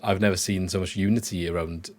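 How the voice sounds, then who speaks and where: A man hosting a casual football podcast, his voice croaky from illness, talking conversationally with frequent restarts. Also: low voice, fairly even intonation, fast. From Wales. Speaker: podcast host.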